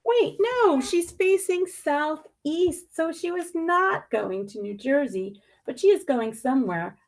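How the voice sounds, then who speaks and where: A woman reading a picture book aloud expressively, with deliberate dramatic emphasis and pointed pauses. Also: high-pitched, expressive.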